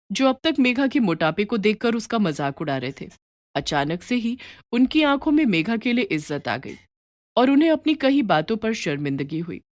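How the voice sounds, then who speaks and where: A woman's voice: fairly low pitch, no echo, studio quality. A woman reading a scripted story as its narrator.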